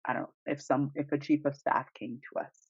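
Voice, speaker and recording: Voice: medium pitch, level tone, a little fast, breathy edge, dry recording. A podcast co-host talking casually and emphatically, with strong opinions and informal phrasing. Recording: dull, lo-fi dry recording.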